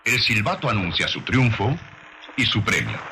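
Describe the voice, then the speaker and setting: A man narrating a scripted science documentary in a measured, formal, didactic manner on an old soundtrack. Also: fairly low pitch, big pitch swings, very noisy.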